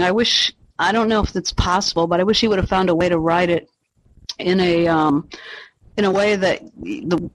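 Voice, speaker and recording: A man's voice: sits high, low-quality recording. A man chatting casually as a participant in a group book discussion, explaining things in plain conversational terms. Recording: faint noise and clicks.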